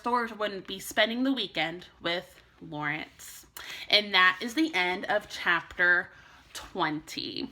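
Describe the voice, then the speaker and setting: A woman teacher reading a children's storybook aloud in an expressive way meant for young listeners. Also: medium pitch.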